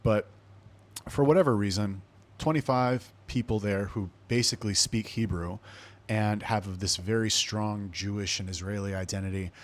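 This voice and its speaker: A man's voice: on the low side, normal speed. A young man from Los Angeles, hosting a podcast and telling a long personal story in a casual, profane, digressive way. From Los Angeles, USA. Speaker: podcast host.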